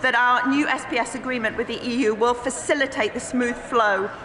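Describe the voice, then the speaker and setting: A woman from Wales, a government minister, answering questions in a formal, measured parliamentary style. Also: fairly high pitch, steady tone, a bit bright. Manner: formal, measured, partly read. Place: parliamentary chamber. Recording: echoey chamber with crowd murmur.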